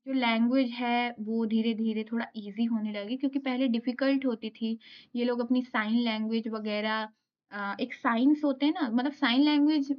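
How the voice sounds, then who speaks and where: Indian woman lecturer, slow read-aloud and re-explaining, slightly high pitch, dull lo-fi audio. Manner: explains, reads English notes slowly, then repeats them. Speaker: teacher. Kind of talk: exam lecture, reading notes aloud and explaining.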